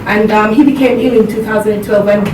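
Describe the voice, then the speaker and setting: A woman's voice: steady tone, fast. Earnest woman speaker in an echoey hall. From Jamaica. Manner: earnest, laughs along with the audience. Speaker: motivational speaker. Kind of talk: motivational seminar talk. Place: seminar room with an audience. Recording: echoey hall, distant mic, background rumble.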